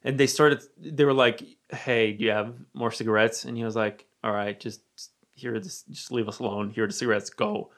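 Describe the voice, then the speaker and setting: A young man from Romania with an American accent, telling a personal story in casual chat. He talks animatedly, swears freely and drops to a low murmur when quoting his own thoughts. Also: fairly even intonation, moderate pace, a bit bright.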